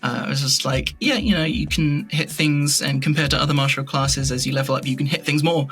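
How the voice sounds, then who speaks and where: A man's voice: a little high, brisk, a bit bright, slightly rough, faint music behind. A man chatting casually as a podcast guest, telling stories and acting out his own thoughts in little asides. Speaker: interview guest; voice actor and audio drama creator.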